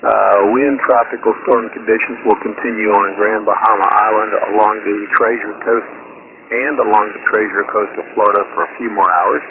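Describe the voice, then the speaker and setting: Over a thin, static-laden shortwave link comes a man with a fairly low voice. He is a radio operator relaying an official weather advisory. His reading is halting, and he trips on names and corrects himself as he goes. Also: steady tone. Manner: halting, stumbles and self-corrects on place names. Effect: shortwave ham radio transmission. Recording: tinny, very noisy shortwave radio signal. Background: loud radio static and noise.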